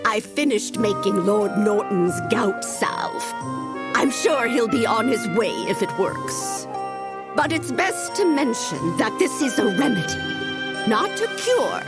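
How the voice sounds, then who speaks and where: A woman voicing a shrewd, composed older madam with a theatrical, wry, measured delivery in a video game. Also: on the high side, very animated. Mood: smoothly placating. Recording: loud music behind the voice.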